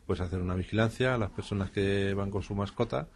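A man's voice: fairly low pitch, brisk, a little hiss or hum. A middle-aged man, an enforcement officer, giving plain, hesitant and official-sounding interview answers.

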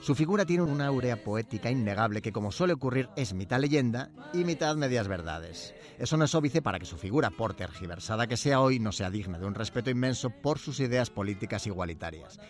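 A man's voice: mid-range voice, lively intonation, brisk, few pauses, faint music behind. A male presenter reads a history segment from a script. He slips in wry, ironic asides as he goes. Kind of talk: scripted humorous history narration.

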